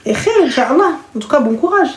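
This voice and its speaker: A woman's voice: high-pitched, animated, brisk, echoey room, noisy background. A woman hosting a live call-in chat, giving advice bluntly and familiarly, with slang and terms of endearment. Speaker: live show host giving callers advice.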